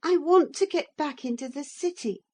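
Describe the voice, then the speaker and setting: A woman's voice: high voice, normal speed, darkish tone, voice pushed up, lightly acted. A woman reading a storybook, voicing an anxious young boy who pleads and asks questions eagerly. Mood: pleading.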